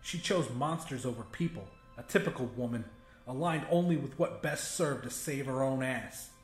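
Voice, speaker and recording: A man's voice: mid-range voice, moderate pace. A man giving a scripted, dramatic narration of a fiction audiobook. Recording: roomy echo with faint music. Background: faint music.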